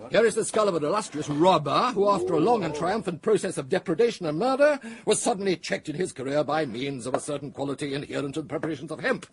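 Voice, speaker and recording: A pompous phrenologist lecturer. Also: male voice, fairly high pitch, fast, hardly any pauses, breathy edge. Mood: lecturing. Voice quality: pompous, theatrical delivery. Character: pompous male phrenologist lecturing, older man. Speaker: lecturer at a dinner party. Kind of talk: 1980s radio drama acting.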